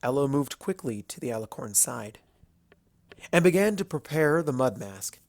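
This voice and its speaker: A male narrator reads a piece of fiction from a script. His voice sits at a medium pitch, and the recording is dry with very little room sound. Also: animated, moderate pace, vocal fry, darkish tone, dry recording.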